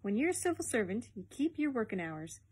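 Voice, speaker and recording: A woman's voice: on the high side, big pitch swings, a little fast, darkish tone, breathy edge. Woman acting a pompous, scolding older dogcatcher. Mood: stern. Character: stern, blustering older male civil-servant dogcatcher, lecturing.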